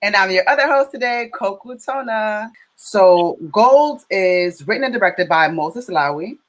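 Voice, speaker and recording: A woman's voice: mid-range voice, lively intonation, measured pace. An American woman from Chicago co-hosting a casual film Q&A in a chatty, playful way. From Chicago, United States. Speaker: co-host interviewer.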